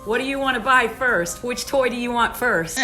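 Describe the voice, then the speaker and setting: A woman's voice: on the high side, normal speed, over music. A woman chatting casually and enthusiastically about a story as its co-host and author. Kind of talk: casual chat commentary.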